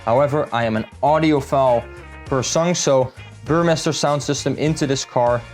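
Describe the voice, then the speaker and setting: A man's voice: medium pitch, moderate pace. Man explaining over guitar music. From Netherlands. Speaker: car salesman presenting own car build. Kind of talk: casual vlog walkthrough.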